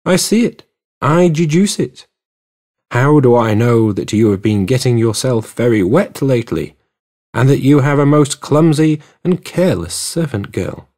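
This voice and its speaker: A man voicing a keen, superior, teasing male detective in an audiobook, brisk, assured and precise. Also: medium pitch, expressive, a little mellow. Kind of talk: audiobook character dialogue.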